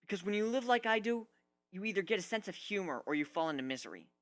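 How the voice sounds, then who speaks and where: A proud young griffin warrior, acted by a man, speaks with blunt, sardonic bitterness. The voice is bright and forceful, a bit high for a male, and swings widely in pitch as he makes his point in this storybook fantasy. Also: animated, quick pace. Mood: indignant.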